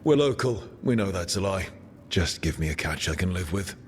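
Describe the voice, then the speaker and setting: A man playing a steady, sympathetic adventurer hero in a video game, plain and direct. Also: deep voice, normal speed, a little hiss or hum. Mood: earnest.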